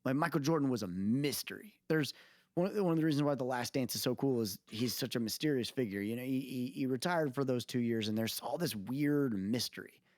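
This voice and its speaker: A man's voice: medium pitch, fairly quick, darkish tone, dry recording, studio quality. A man co-hosting a podcast in casual conversation, emphatic and repeating phrases to drive points home. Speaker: podcast co-host. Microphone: close mic on a remote recording call.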